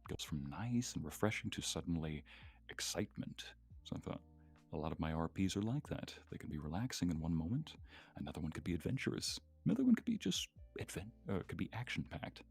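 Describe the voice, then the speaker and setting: A man with a low, creaky voice chats casually on a live stream. His intonation swings animatedly and his talk is playful, with faint music behind him. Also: very animated, brisk, a little mellow. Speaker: voice actor and guest creator. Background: faint background music with guitar.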